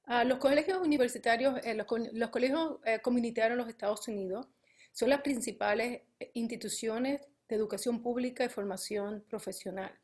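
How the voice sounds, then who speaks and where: Halting woman giving a conference talk. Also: on the high side, darkish tone. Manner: halting, frequent fillers and self-corrections. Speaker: college campus administrator presenting on a panel. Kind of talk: conference presentation. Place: conference panel, remote or on stage.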